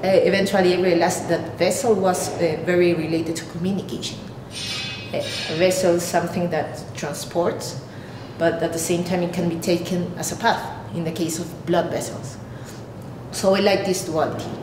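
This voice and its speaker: A woman's voice: little pitch movement, moderate pace. Echoey, hesitant woman with Peruvian Spanish accent. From Peru. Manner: hesitant, searching for words. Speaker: artist. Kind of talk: explaining own artwork to camera. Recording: echoey room, clear rumbling background noise.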